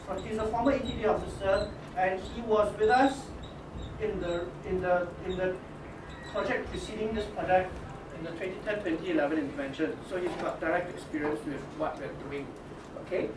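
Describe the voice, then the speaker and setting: A high-pitched man with a Singaporean English accent talks his audience through a workshop in stop-start phrases. The room is echoey and noisy, and he sounds set back from the mic. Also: steady tone. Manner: halting, many restarts and "okay?" checks. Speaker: workshop leader introducing his team. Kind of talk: casual spoken presentation to teachers. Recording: echoey room from a distance with noise.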